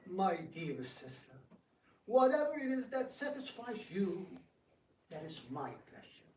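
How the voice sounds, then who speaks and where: Scheming prince, theatrical, florid courtesy, animated high pitch, background noise. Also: male voice, slow, exaggerated voice. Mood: effusively gracious. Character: proud, scheming Indian prince, a grandfather, falsely gracious host. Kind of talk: theatrical dramatic reading. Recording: echoey room, distant mic, background noise.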